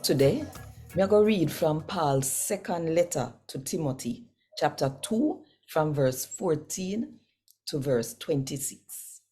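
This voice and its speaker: A Jamaican woman giving an expressive, deliberate devotional scripture reading with measured, emphatic delivery, as a host would. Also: low voice, slightly rough, faint music behind.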